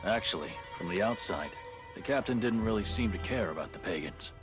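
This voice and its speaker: An actor playing an easygoing, steady male companion in a fantasy video game. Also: lowish voice, fairly quick, lightly acted. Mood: earnest explaining. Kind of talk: video game cutscene acting. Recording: loud music behind.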